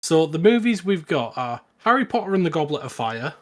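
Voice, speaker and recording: A man's voice: on the high side, sing-song, fairly quick. A man co-hosting a podcast, talking casually about films. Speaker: podcast co-host. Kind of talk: casual film discussion.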